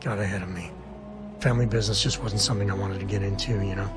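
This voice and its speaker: An actor playing a guarded, weary space cowboy in a video game, speaking in a casual, folksy, reluctant way. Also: male voice, lowish voice, steady tone, fast talker, music in the background, close to an everyday voice. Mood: reluctant, confiding.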